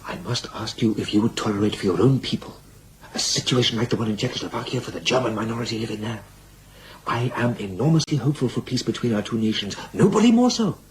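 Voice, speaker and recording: A man's voice: mid-range voice, animated, fast talker, slight background noise, natural voice, poor audio. A man playing a volatile middle-aged German dictator in a radio drama, speaking emphatically and declaiming his points. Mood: insistent.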